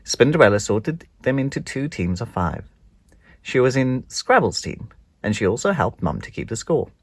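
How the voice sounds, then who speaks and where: A children's read-aloud narrator with a slightly husky voice and a close, dry sound. The delivery is slow and hugely expressive, rising and falling across each phrase. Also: medium pitch, no echo. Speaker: storybook narrator.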